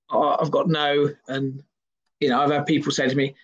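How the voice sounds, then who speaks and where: Husky, self-mocking man. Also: on the high side, little pitch movement, moderate pace, muffled recording. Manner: self-deprecating, jokey, rambling with restarts. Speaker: podcast guest, singer and comedian. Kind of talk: casual chat.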